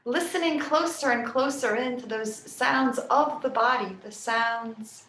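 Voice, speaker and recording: Slow, soothing woman guiding relaxation. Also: a little high, slight background noise. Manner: soft, soothing, unhurried with long pauses. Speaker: yoga nidra teacher. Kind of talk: guided relaxation meditation. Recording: roomy, echoing sound.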